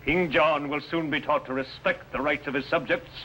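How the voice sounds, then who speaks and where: Bright, forceful medieval archbishop. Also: male voice, on the high side, steady tone, normal speed. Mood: resolute. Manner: formal, archaic, declamatory. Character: resolute, solemn medieval English archbishop or courtier. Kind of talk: 1950s television costume drama acting. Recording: faintly noisy old TV soundtrack.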